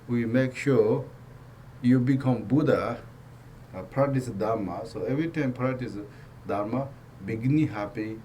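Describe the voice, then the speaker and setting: Tibetan-accented man teaching in halting English. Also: mid-range voice, a little hiss or hum. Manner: broken English, repetitive, gently joking. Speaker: Buddhist teacher. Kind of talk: dharma teaching talk.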